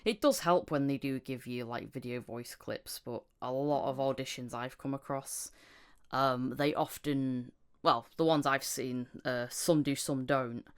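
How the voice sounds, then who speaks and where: A woman's voice: extremely low pitch, fairly even intonation, a little slow, clear, resonant tone. A woman hosting a casual interview podcast, chatting informally. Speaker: podcast host, amateur voice actor.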